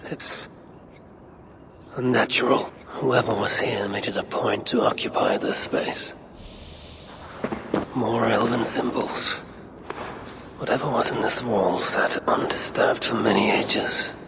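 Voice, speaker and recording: Gravelly male voice, grim Norse god warrior, commanding, deliberate, slow-paced, video game drama, heavy background noise. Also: medium pitch. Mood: grim, pondering. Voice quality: gravelly, breathy undertone. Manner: measured, brooding, deliberate. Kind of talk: dramatic game voice acting, brooding narration.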